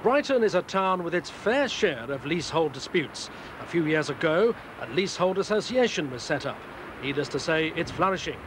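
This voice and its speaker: Man, fairly high voice, scripted TV reporting, noisy outdoor traffic. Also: sing-song, normal speed. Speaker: television news reporter. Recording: clear outdoor traffic noise.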